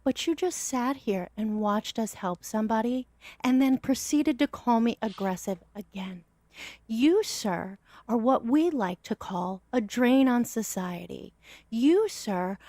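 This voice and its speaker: A woman's voice: on the high side, a little mellow, dry recording. Cutting woman with a dry close voice. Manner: cutting, measured insults. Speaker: panelist on a live-stream debate. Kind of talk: heated political debate.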